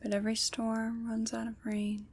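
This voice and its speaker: A woman whispering gently and reassuringly with deliberate pauses, in an ASMR roleplay as a kind, soothing witch. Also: on the high side, completely flat delivery, husky. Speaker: ASMR performer.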